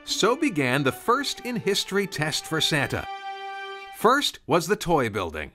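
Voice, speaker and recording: A man's voice: on the high side, wildly swooping pitch, unhurried, sharp tone, soft background music. A man narrating a scripted story in a folksy, conversational way, with asides to the listener.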